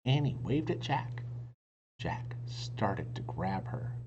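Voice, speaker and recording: A man reading a children's book aloud in an expressive narrating style. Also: low-pitched, slow, whispered. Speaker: storybook reader. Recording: dull sound, faint noise.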